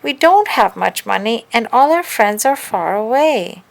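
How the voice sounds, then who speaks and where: A woman's voice: a little high, sing-song, measured pace, creaky, voice pushed up, heavily acted, poor audio. A woman voicing a practical, weary but caring mother in a children's story. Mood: weary, firm.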